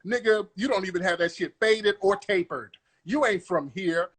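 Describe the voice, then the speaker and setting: Husky high-voiced man grilling callers. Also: normal speed, fairly bright tone. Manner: aggressive, interrogating, profane, repeats himself. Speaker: host of a live call-in room. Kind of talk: confrontational live-stream comedy bit.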